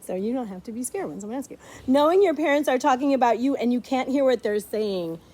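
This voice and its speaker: A middle-aged woman reading a picture book aloud to a child, playfully animated, with dramatic pauses and chatty asides. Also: fairly high pitch. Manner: playful, dramatic pauses before key words.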